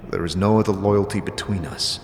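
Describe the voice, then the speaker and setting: Guy doing a guarded tired wanderer for audio drama, lowish voice, short blunt questions, lots of reverb. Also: steady tone, fairly quick. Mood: defiant. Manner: terse, plain-spoken questioning. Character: guarded, weary wanderer questioning his captor. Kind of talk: fantasy audio drama acting. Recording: echoey hall, music behind.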